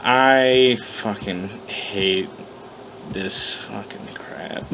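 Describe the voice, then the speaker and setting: A man's voice: lowish voice, extremely slow, sharp tone, slight background noise, poor audio. A man hosting an informal reading of his own fan fiction, swearing casually, mocking himself and stumbling over his words. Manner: self-deprecating, profane, stumbling over lines. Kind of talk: casual profane commentary and informal story reading.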